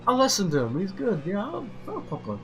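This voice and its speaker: A man putting on the high, exaggerated voice of an imagined gushing fan praising the channel. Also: wildly swooping pitch, fast, a bit bright, full character voice. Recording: clear sim engine noise behind. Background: racing game engine noise.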